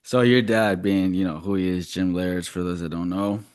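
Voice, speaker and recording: A man's voice: sits low, fairly even intonation, moderate pace, darkish tone, clear, resonant tone, dry recording, clean studio sound. A young man speaking as a podcast host in a casual, slangy interview style. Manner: informal, slangy.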